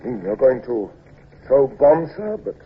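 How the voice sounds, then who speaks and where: An actor playing a deferential, anxious and loyal naval subordinate in an old radio drama, respectful and earnest. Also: male voice, medium pitch, sing-song, unhurried, slightly rough. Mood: concerned reporting. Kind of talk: 1950s radio drama acting. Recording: dull, hissy old radio broadcast recording.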